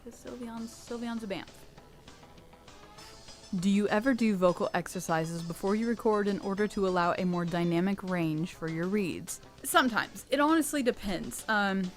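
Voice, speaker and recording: A young American woman who hosts her channel, answering fan questions in a candid, chatty way with a low, rich voice. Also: moderate pace, faint music behind. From United States. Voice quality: low rich voice, sometimes taken for a boy. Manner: chatty and candid, with laughs and restarts. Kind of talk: casual Q&A monologue.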